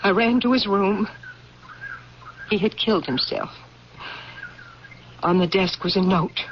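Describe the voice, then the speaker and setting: Actress playing a proud grieving widow, muffled old radio. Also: female voice, medium pitch, a little slow, noisy background, close to an everyday voice. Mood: confessional, anguished. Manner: formal, theatrical, impassioned. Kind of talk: old-time radio drama acting.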